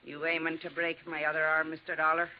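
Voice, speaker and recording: A woman's voice: low voice, completely flat delivery, fast, close to an everyday voice. An actress playing a feisty, plainspoken New York landlady in a 1950s radio drama, with folksy diction and a blunt, salty manner. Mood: defensive. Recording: dull, lightly noisy 1950s radio recording.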